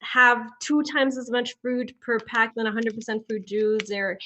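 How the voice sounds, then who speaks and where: A young woman from Ecuador speaks from home on a video call as a startup founder. Her voice is on the high side. She explains her product informally, in halting phrases that often restart. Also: fairly even intonation, pushed and bright, a little hiss or hum. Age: young adult. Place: indoor room at home. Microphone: laptop video call.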